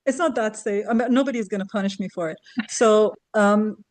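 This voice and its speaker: A woman's voice: medium pitch, fairly quick, a little mellow. A woman who grew up in Kuwait, a guest educator in a casual podcast conversation, talkative and laughing often as she tells stories.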